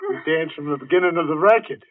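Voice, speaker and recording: An actor playing a deranged, jealous killer who poses as a protector, in urgent, melodramatic 1940s radio suspense style with a husky voice. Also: male voice, a little high, expressive, fast, lightly acted. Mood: deranged, accusing. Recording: dull, muffled vintage radio recording.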